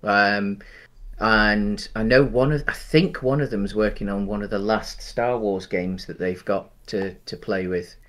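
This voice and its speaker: Man, thirties, low voice, meandering chatty co-host on a headset video call. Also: steady tone, unhurried, a bit bright. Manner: rambling, many ums and restarts. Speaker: podcast co-host. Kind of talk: casual chat. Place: home room, video call.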